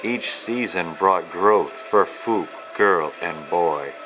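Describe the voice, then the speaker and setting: A man, an author, presenting and reading a children's storybook in deliberate, measured phrases. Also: low-pitched, poor audio. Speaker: author and illustrator reading own children's book. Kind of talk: read-aloud storytelling of rhyming children's book.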